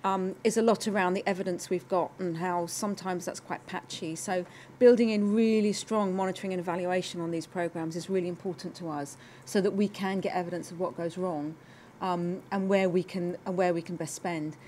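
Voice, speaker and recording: A woman's voice: fairly low pitch, steady tone, fairly quick, darkish tone, a little hiss or hum. A middle-aged British woman giving formal panel remarks as a government development official. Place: conference room, indoors.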